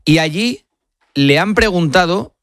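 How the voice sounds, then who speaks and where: A man's voice: high voice, expressive, measured pace, fairly bright tone, no room sound, clean studio sound. A man hosting a late-night radio sports show, talking fluently with frequent filler sounds as he leads the segment. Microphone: studio microphone.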